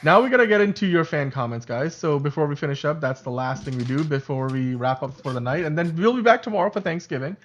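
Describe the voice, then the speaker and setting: A man's voice: on the high side, expressive, fast talker, hardly any pauses. Livestream co-host, male, Urdu first language, loose and chatty, slightly muffled stream sound. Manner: chatty, self-correcting, laughs often. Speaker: podcast co-host. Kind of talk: casual livestream chat.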